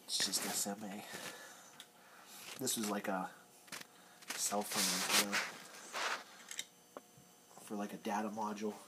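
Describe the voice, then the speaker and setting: A man's voice: on the low side, little pitch movement, measured pace, long pauses. A man giving a casual, hands-on technical demonstration, explaining informally and sometimes trailing off. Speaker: radio hobbyist demonstrator. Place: cluttered indoor radio workshop. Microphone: handheld camera, off screen. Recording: noisy room, handheld camera recording.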